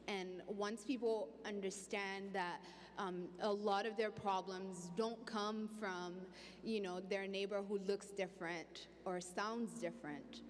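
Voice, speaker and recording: A young woman from Congo speaking earnestly as a panelist on stage, holding a handheld mic in a large hall. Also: mid-range voice. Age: young adult. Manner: earnest, outspoken, with restarts. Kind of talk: panel discussion. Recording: hall reverb, faint background noise.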